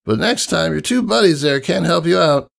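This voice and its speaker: A brash young female pegasus pony from a fan fiction story, voiced by a man, pitched high above his own voice. She is confident and playful, talking in casual slang like a cocky but friendly mentor. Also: lively intonation, normal speed, creaky. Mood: cocky teasing. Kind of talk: character voice in fan fiction reading.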